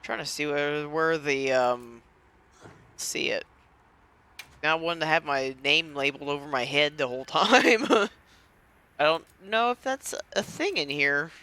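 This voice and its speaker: A man's voice: a little high, measured pace, pushed and bright, clean tone. A man giving casual let's play commentary in a rambling, self-interrupting way, with game music behind him. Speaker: gaming video host. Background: video game music and dialogue.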